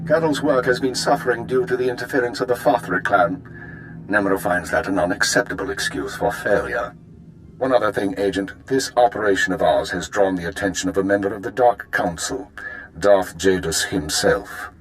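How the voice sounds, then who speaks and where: A man's voice: fairly low pitch, moderate pace, slight background noise, close to an everyday voice, rough recording. A man playing a stern, formal intelligence superior in a video game, briefing with clipped authority. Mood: stern, grave.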